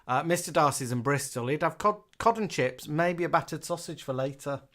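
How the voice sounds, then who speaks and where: A man's voice: on the high side, a little fast, fairly bright tone. A man from the UK co-hosting a casual live stream, chatting and reading out viewers' comments with a laugh.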